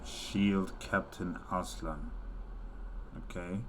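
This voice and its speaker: A man's voice: sits low, fairly even intonation, drawn-out words, a little hiss or hum. A man hosting a reaction video with casual, off-the-cuff commentary.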